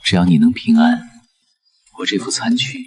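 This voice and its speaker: An actor playing a frail, secretly scheming nobleman in an animated costume drama, gentle and measured in manner. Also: lowish voice. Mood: tender. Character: frail, soft-spoken, secretly scheming male court nobleman.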